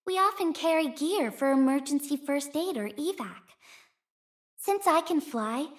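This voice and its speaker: A young female messenger from a video game. Her voice sits high and she takes her words slowly. She comes across as gentle, earnest and sincere, with a soft, measured delivery. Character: gentle, earnest young woman messenger who can fly. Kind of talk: video game character voice lines.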